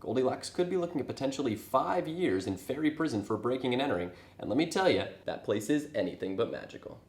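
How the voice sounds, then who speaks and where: A man plays a TV news anchor in a comedy sketch. The voice sits at a middling pitch, with a formal, slightly wry scripted delivery in an echoey room. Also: expressive, fast talker, darkish tone, close to an everyday voice. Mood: newsy, wry. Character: Jack, male fairy tale TV news anchor. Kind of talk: mock TV newscast, scripted. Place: indoor studio set.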